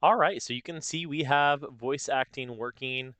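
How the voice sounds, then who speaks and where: A man narrating a casual step-by-step software tutorial, explaining informally and thinking aloud as he goes. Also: medium pitch, normal speed, no echo. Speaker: tutorial host.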